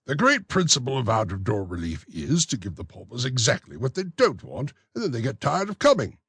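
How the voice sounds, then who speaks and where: A man's voice: mid-range voice, huge pitch swings, a little fast, a bit bright, breathy edge, dry recording, voice pushed up, exaggerated voice. A man voicing a pompous, self-important male parish beadle who lectures in a blustering way. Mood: smug. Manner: blustering, self-satisfied, preachy.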